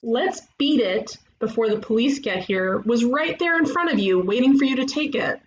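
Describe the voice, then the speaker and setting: A woman playing a brash, bossy ghost detective in an animated comedy table read, teasing and cocky. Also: on the high side, normal speed, lo-fi sound, slight background noise, lightly acted. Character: brash, bossy young female ghost detective who loves puns.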